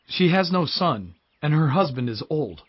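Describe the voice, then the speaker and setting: A man plays a dutiful servant, husky and lively in pitch, delivering short reports. The recording is dry and dull. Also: normal speed, dry recording. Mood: reporting. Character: Gehazi, male servant of the prophet, dutiful.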